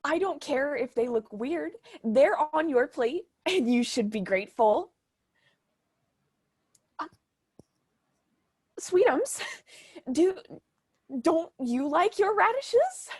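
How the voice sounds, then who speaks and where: A woman's voice: high-pitched, sing-song, takes it slowly, long pauses, no echo, studio quality, heavily acted. A woman playing a doting but overbearing mother in a stage comedy, gushing and reciting with pompous theatrical grandeur. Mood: bossy sweetness.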